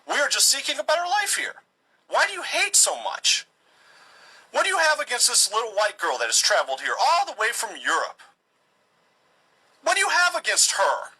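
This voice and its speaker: A man acts out a self-righteous settler leader for a satirical cartoon. The voice is forceful, rough-edged and swings widely in pitch. He lectures and pleads with smug condescension. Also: very animated, moderate pace, pauses often, exaggerated voice, rough recording. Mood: accusing, indignant.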